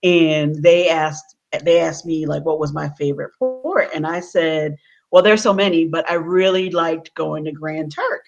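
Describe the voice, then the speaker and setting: A woman hosting a casual livestream interview, chatty and quick to laugh. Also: low-pitched, gravelly, highs cut off, soft background music. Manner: chatty, laughs often, restarts a lot. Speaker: host.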